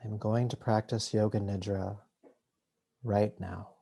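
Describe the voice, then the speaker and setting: Slow, mellow man guiding meditation. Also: lowish voice, fairly even intonation, vocal fry, husky. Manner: soothing, unhurried, with long pauses between short phrases. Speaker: yoga nidra teacher. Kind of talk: guided meditation.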